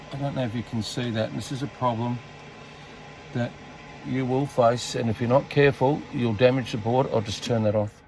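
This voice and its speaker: An Australian man giving a casual hands-on repair tutorial, talking in a halting, unscripted, step-by-step way as he works. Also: medium pitch. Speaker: hobbyist demonstrating a radio repair. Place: indoors at a repair workbench. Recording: clearly noisy amateur workbench recording.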